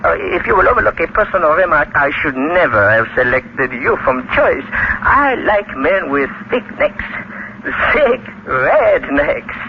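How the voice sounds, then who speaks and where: An actor playing a small, genteel murderer in a 1940s radio horror drama, halting and mockingly polite, speaking. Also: male voice, a little high, sing-song, creaky, background noise, full character voice. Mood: politely menacing. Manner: halting, fussily polite, savoring words. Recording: dull old radio recording, loud music.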